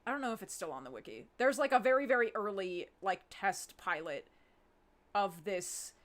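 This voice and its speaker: A woman talking informally in a live Q&A as a show's creator, thinking aloud and pausing as she goes. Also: mid-range voice, lively intonation. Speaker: audio drama writer and producer. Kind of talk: casual chat in a live Q&A.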